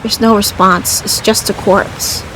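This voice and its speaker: A woman's voice: mid-range voice, fairly even intonation, measured pace, background noise. A woman casually commentating on her video game playthrough and reading game text aloud. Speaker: gamer playing and reading aloud.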